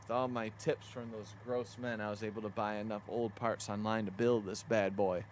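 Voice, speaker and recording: A man's voice: on the low side, level tone, moderate pace, a little hiss or hum, lightly acted. A man voicing a scheming, jealous young woman villain in a visual novel, flippant and boastful. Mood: gloating menace. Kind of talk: visual novel character read-aloud. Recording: music behind the voice.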